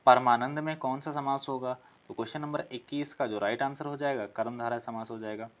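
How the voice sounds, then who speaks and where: A man's voice: mid-range voice, steady tone, brisk. A man teaching an exam-prep class, working through questions, asking them aloud, answering them and repeating key phrases as he explains.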